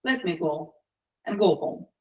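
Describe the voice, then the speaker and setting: A woman's voice: fairly low pitch, expressive, unhurried, far from the mic, dull audio, voice pushed down. Woman voicing a pleading magical goldfish. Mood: resigned. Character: magical female goldfish granting wishes, pleading for release.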